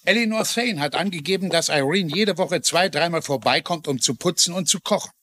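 An actor playing a folksy middle-aged sheriff in a TV detective drama, with hesitant hems and official phrasing. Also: male voice, fairly high pitch, fairly quick, fairly bright tone, dry recording. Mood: pondering, sympathetic. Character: folksy middle-aged small-town sheriff, plodding but dutiful. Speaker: actor in a dubbed crime drama. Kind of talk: German-dubbed TV detective drama acting.

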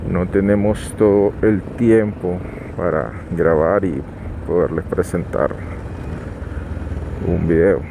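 A man calmly narrating a motorcycle vlog while riding, giving unhurried advice with some restarts. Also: low voice. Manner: calm, advising, unhurried with restarts. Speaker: motovlogger. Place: riding a motorcycle on a highway outdoors. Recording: road and wind noise.

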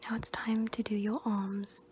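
A young woman playing a gentle caregiver tending a wounded soldier, whispering breathily and soothingly in an ASMR roleplay. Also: mid-range voice, little pitch movement, lightly acted. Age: young adult. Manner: slow, soothing, caring. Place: small indoor room against a draped sheet. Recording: noisy amateur close-up recording.

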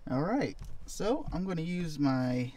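A man's voice: on the high side, big pitch swings, a little slow, dark tone. A young adult man with a North American accent, chatting casually and enthusiastically to camera as a camping vlogger inside a parked vehicle. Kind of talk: casual vlog chat to camera. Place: inside a parked truck at night.

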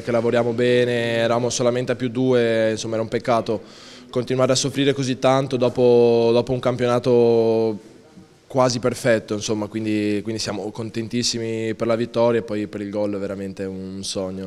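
A young man, a football defender, answering post-match questions in a quick, restless way, often repeating words. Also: mid-range voice, level tone, darkish tone, clear, resonant tone. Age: young adult. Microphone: handheld interviewer mic. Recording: long hallway reverb.

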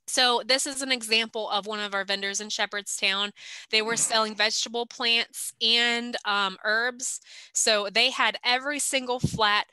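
A young woman talks through a training slideshow in a practical, chatty way, often pausing on "um." Her voice is bright and smooth. The sound is dry and close, picked up on a webcam mic. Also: on the high side, fairly even intonation, no room sound, poor audio. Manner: practical, conversational, with frequent "um" fillers. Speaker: extension agent giving vendor training. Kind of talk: recorded online training presentation over slides. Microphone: video call webcam.

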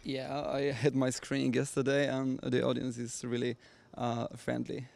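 A Polish man in his thirties speaking English in short, hesitant answers. His voice is gravelly and flat, and it sits at a middle pitch. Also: normal speed. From Poland. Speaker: film director. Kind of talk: casual panel conversation. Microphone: handheld mic.

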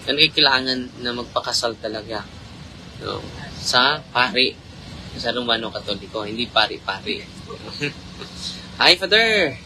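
Chatty priest with a bright voice. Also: male voice, mid-range voice, a little hiss or hum, low-quality recording. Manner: chatty, laughs often, switches between Tagalog and Cebuano. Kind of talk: casual live-stream chat. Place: inside a car in traffic at night. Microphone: phone held at arm's length.

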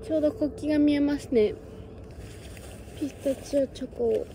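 A young Japanese woman with a high but mellow voice talks casually into a phone camera outdoors. She muses and chats in a relaxed vlog style, with faint open-air ambience behind her. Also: normal speed, a little hiss or hum. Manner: chatty, musing, talks to birds and cats in a sing-song voice. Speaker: travel vlogger. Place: outdoors on a windy hilltop fortress. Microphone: phone camera close by.

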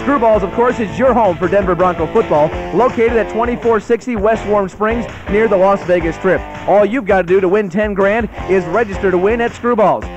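A man's voice: high-pitched, dull audio. A man announcing promotional spots on the radio in a scripted ad read. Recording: radio broadcast with music bed. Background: music.

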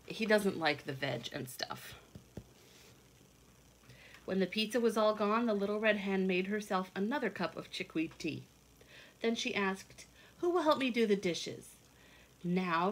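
A woman in her thirties reading a picture book aloud and explaining it to children in simple, expressive, teacherly phrases. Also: medium pitch, measured pace. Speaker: children's read-along teacher. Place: indoors at home, kitchen and a room.

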